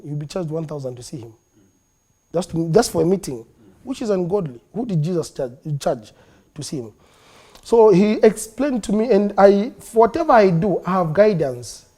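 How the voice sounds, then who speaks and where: A man with a Zambian accent, a comedian being interviewed in a studio, animated and quick to laugh, slipping into local languages now and then. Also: a little high. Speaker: comedian guest. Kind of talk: interview talk.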